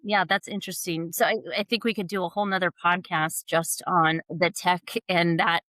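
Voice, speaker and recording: A woman co-hosts a podcast interview, warmly affirming what her guest says. Her voice sits a little low. The sound is dry and close. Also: level tone, moderate pace, no echo. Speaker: podcast co-host.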